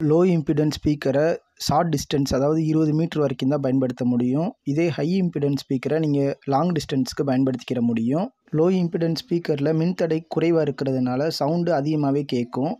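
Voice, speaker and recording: Man, fairly high voice, instructional narration, methodical, dry lo-fi recording. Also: dark tone, husky, dry recording. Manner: methodical, explanatory. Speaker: tutorial presenter. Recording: dull lo-fi audio.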